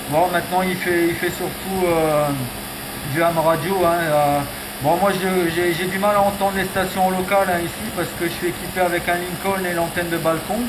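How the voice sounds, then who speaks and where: A man chatting as a radio operator, sprinkling his talk with fillers. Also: high-pitched. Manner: chatty, says "hein" often. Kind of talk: radio contact exchange. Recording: echoey, noisy radio audio.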